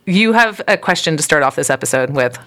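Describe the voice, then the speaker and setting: A woman's voice: low-pitched, lively intonation, brisk, a bit bright. A woman co-hosting a casual podcast, chatty and opinionated, often laughing between thoughts. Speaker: podcast co-host. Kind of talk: casual chat.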